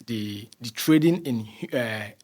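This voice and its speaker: A Ghanaian man with a middling pitch talks as a guest in an interview. He explains things in a conversational way, often restarting and repeating phrases while he looks for the right word. His accent is Ghanaian. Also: expressive, a little mellow. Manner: halting, repeats phrases while searching for words.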